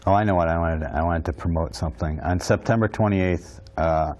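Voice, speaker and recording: A man's voice: low voice, darkish tone. A man in his forties hosting a call-in show from a small TV studio, talking in a casual, agreeable way. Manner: casual, agreeable, quick interjections. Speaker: host.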